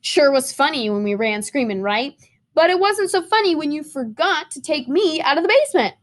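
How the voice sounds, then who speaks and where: A woman voicing a frightened but chummy glow-in-the-dark crayon in a storybook, nervous and pleading. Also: sits high, lively intonation, moderate pace, pitched above the performer's own voice.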